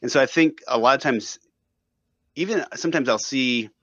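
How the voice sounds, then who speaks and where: A man's voice: medium pitch, moderate pace, a bit bright, no room sound, muffled recording. A man speaking as a guest in a casual discussion, easygoing and thinking aloud.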